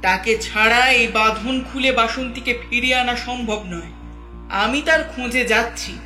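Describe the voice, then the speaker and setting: A woman's voice: on the high side, bright, forceful tone. Piercing, commanding tantric holy woman, played by an actress. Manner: stern, deliberate, commanding. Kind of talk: horror audio drama acting. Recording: roomy echo with music underneath.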